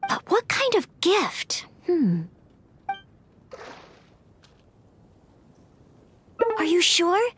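An actress playing an earnest, polite young maid knight in an anime-style video game, hesitant and apologetic. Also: female voice, high-pitched, a little hiss or hum. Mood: pondering.

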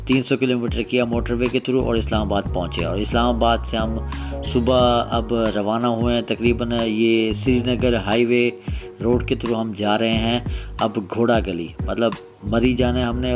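A man with a Pakistani accent narrating a travel vlog casually, explaining things to his viewers as friends. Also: medium pitch. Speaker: travel vlogger. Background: background music.

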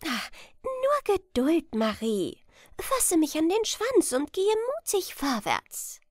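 Woman voicing a high-pitched, brisk storybook mouse. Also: wildly swooping pitch, no echo, studio quality, full character voice. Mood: reassuring. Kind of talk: storybook character voice.

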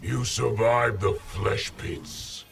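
An actor playing a brutal, imperious warlord emperor in a fighting game, with a threatening delivery. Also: male voice, on the low side, steady tone, drawn-out words, vocal fry, far from the mic, very noisy, exaggerated voice. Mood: menacing, scornful. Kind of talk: video game fighting banter.

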